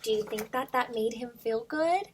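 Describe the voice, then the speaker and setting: A woman's voice: a little high, normal speed, a little hiss or hum. A woman hosting storytime for young children, reading aloud expressively with sing-song emphasis and addressing questions to young listeners.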